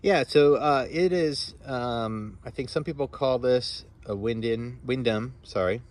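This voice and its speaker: A man's voice: medium pitch, unhurried, a little mellow, a little hiss or hum. A man, an amateur radio operator, chatting casually and explaining technical things in a rambling way outdoors. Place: outdoors on a front lawn.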